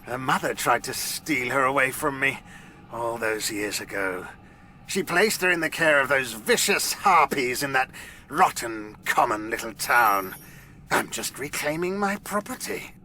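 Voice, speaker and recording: A man's voice: mid-range voice, expressive, a little slow, pushed and bright, a little hiss or hum. An actor playing a possessive, menacing fairy king in an audio drama, with a theatrical, oily, scornful delivery.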